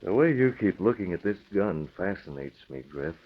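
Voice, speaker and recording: Masked crime-fighter, deep voice, commanding, coolly menacing, sardonic, old radio serial acting, muffled recording. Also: male voice, big pitch swings, moderate pace, husky, full character voice. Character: confident, stern masked vigilante, coolly menacing.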